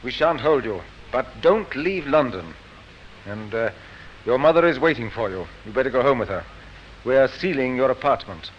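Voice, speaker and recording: Actor as a probing inspector with lively intonation. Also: male voice, medium pitch, normal speed, slight background noise, close to an everyday voice. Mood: businesslike. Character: firm, probing, courteous male police inspector. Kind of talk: 1950s radio drama acting. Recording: dull old radio recording, loud music.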